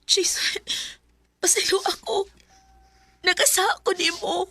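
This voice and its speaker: Casting: tender young mother-to-be, Cebuano accent, high voice, remorseful radio-drama heroine over music. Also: fairly even intonation, a little slow, gravelly, pushed and bright, full character voice. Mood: tearful, pleading. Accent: Cebuano Filipino. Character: tender, remorseful young expectant mother torn between two men. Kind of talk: Visayan radio drama acting and narration.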